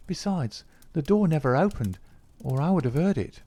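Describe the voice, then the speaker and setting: Man putting on a high, earnest young maid. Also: lively intonation, normal speed, warm tone. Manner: respectful and eager. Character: anxious young housemaid, respectful.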